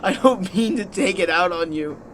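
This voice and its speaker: A male actor plays a bitter, anxious young husband in a radio play. He speaks haltingly and defensively, with very changeable pitch and faint noise behind him. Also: expressive, a bit bright, poor audio. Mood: despairing. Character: struggling young comedian husband, anxious, bitter and self-pitying. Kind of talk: radio drama acting.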